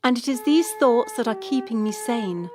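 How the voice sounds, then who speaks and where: Wistful soldier's wife played by an actress, reading a letter tenderly, lightly high register, clear flute backing. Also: female voice, expressive, normal speed, lightly acted. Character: loving wife reading her soldier husband's letter aloud. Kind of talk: radio drama letter reading. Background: flute music.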